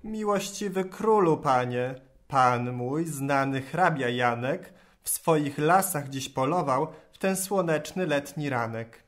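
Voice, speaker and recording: An actor voicing a clever, bold talking cat with a courtly, smooth-tongued way in a children's rhymed audio tale. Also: mid-range voice, animated, takes it slowly, few pauses. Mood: courtly, flattering. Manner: smooth-tongued rhyming oration.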